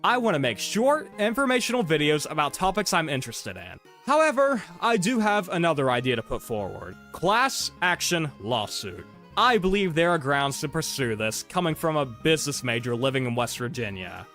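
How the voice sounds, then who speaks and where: A man ranting sarcastically about his frustrations, his voice hoarse from being sick. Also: a little high, sing-song, moderate pace, fairly bright tone, faint music behind. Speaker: content creator. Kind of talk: ranting commentary video.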